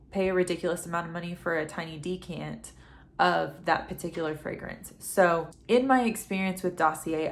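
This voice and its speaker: A woman in her thirties, getting over a head cold with a dry throat, talking quietly and chattily at home at night as a fragrance reviewer. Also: fairly low pitch. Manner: chatty, rambling, with frequent ums. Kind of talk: casual vlog talk to camera.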